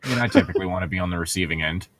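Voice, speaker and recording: A man's voice: deep voice, animated, very fast, fairly bright tone, no echo, clean studio sound. A man co-hosting a podcast in casual joking banter over a book being read aloud, sarcastic and teasing. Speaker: podcast co-host.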